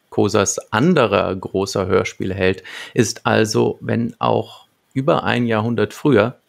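A man in his thirties with a gravelly voice, presenting prepared material as a podcast co-host. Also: lowish voice. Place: small book-lined room at home, evening. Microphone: large desk microphone close up, headphones.

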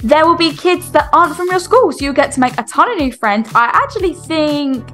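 A woman's voice: high-pitched, expressive, a little fast, a bit bright, soft background music. A young woman with a British accent, chirpy and exclaiming, doing a game roleplay vlog as the mum. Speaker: video creator playing a mum in a game roleplay.

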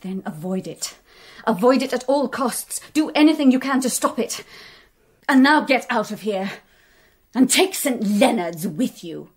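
A young British socialite in a radio play. She is snobbish and brusque, with a fairly high voice that swoops widely as she delivers her sharp-tongued lines. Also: lively intonation, measured pace, husky. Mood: alarmed, angry. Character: haughty, sharp-tongued young society woman.

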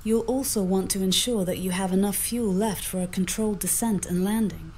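A woman giving measured, formal advice in full sentences as an AI mission companion. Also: medium pitch. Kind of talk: scripted, formal advisory narration. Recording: loud noise behind voice.

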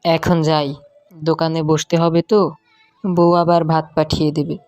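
A woman's voice: sits low, flat delivery, measured pace, a little mellow, faint music behind. A woman voicing a sweet-talking, wheedling secret male lover in an audio love-story drama. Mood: pleading, doting.

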